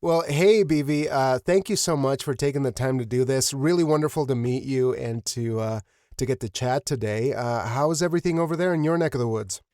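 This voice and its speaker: Man, mid-range voice, dry studio-like sound, casual interviewer, appreciative, halting phrasing. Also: mid-range voice, a little mellow, dry recording. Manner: effusive, appreciative, hesitant phrasing. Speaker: podcast host.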